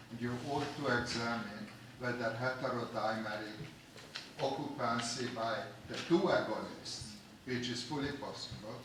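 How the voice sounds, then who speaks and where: A man with a Hungarian accent asking a long, detailed technical question from the audience at a conference. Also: mid-range voice. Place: large conference hall. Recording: echoey hall, distant mic, noisy.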